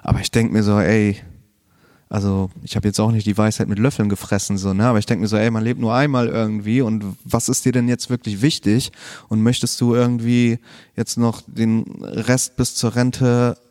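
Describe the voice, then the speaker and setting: A man's voice: on the low side, normal speed, dry recording, studio quality. A man, chatting casually as a podcast guest with slang and fillers. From Bremen, Germany. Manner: relaxed, laid-back, peppered with slang and fillers. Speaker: podcast guest, martial arts trainer. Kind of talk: casual chat.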